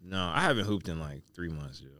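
Male guest, casual and slangy, lively intonation with a dry, close sound. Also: low-pitched, a little fast, no echo. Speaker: studio guest. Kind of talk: casual chat.

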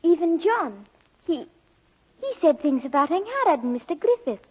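A man's voice: very high-pitched, big pitch swings, normal speed. A young male actor playing an earnest, loyal schoolboy in an old radio drama. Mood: upset. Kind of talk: 1940s radio drama acting. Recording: dull old 1940s radio recording.

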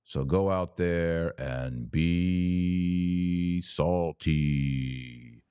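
Very deep-voiced American man, preaching. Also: extremely slow, warm tone, smooth, dry recording. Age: middle-aged. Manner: emphatic, preachy, folksy. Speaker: podcast host. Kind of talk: conversational religious commentary with exhortation.

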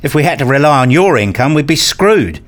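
A man's voice: on the high side, sing-song, brisk. A man playing a brooding, rule-obsessed husband and father in a radio play, speaking in an introspective, pedantic way. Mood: indignant, arguing. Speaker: narrator and lead character. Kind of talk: first-person radio play narration and dialogue.

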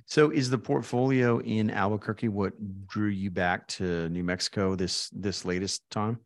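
A man's voice: fairly low pitch, fairly even intonation, moderate pace, vocal fry. A man hosting a podcast, chatting casually and informally with a guest like an old friend. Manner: informal, chummy. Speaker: podcast host interviewing a guest.

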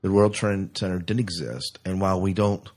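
Low, husky-voiced American man. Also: normal speed, darkish tone. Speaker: podcast host. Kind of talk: conversational podcast discussion.

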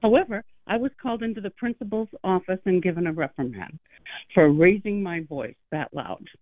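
A woman's voice: on the low side, lively intonation, a little fast, dry recording, soft background music. A middle-aged woman calling in to a radio show, telling a story earnestly and with emphasis. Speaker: caller. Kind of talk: phone-in call. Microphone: phone. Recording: phone line.

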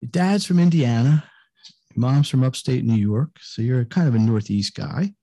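An older man hosts a conversational podcast interview with a mellow, slightly husky voice at a middling pitch. He is effusive and likes to repeat words for emphasis. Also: expressive, normal speed. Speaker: podcast host.

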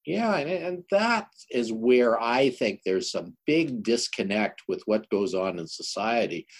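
Show host, older male. Raspy tone, animated pitch, deliberate and emphatic when presenting and questioning. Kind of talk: introducing and interviewing a guest.

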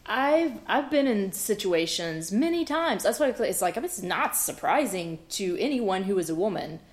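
A woman's voice: mid-range voice, big pitch swings, measured pace. A woman from the American South, a guest artist talking frankly in an interview and laughing at her own jokes. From Southern United States (Memphis, South Georgia). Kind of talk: conversational interview.

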